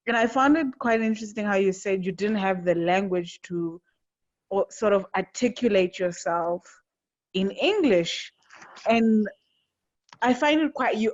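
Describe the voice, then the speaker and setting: Woman host from Angola, dull lo-fi sound. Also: medium pitch. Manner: earnest, searching, with frequent restarts. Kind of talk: conversational interview.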